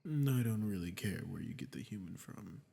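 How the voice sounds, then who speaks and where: A man playing a sadistic, ancient archdemon in an audio drama, smug and mock-polite, with a gravelly voice that often drops to a breathy near-whisper. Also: fairly low pitch, lively intonation, normal speed, warm tone. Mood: businesslike, smug. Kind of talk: scripted roleplay audio drama monologue. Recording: long cavernous reverb.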